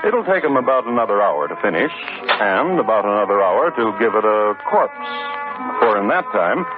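An actor playing a dry, measured, ironic true-crime host, narrating in 1950s radio drama style with deliberate pauses. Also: male voice, on the low side, big pitch swings. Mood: dry, ominous. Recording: tinny old 1950s radio recording, music.

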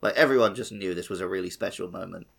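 A man's voice: sits low, quick pace, creaky, a bit bright, husky. A man chatting casually as co-host of a podcast. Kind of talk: casual chat.

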